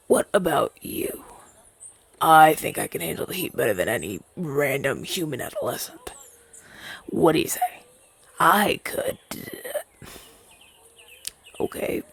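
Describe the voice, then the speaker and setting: A man's voice: fairly high pitch, creaky, husky, no echo, exaggerated voice. A young man playing a chatty, down-on-his-luck crow demon, once a witch's familiar, in a hesitant, stammering roleplay audio drama. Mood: eager, pleading.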